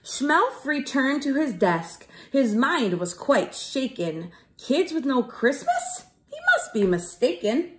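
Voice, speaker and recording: A woman reads to young children like a classroom teacher. Her voice sits a little high and swings expressively up and down. She goes slowly, keeping everything simple and clear to follow. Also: sing-song, a little hiss or hum. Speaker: teacher reading a storybook aloud. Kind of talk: children's read-aloud storytelling.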